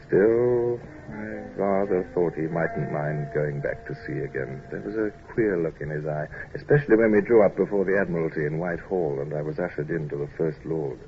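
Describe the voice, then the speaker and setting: A muffled, hissy old radio drama recording of a proud English sea captain. His low voice is brusque and clipped, lively in its rise and fall, and he turns hesitant when self-conscious. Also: creaky.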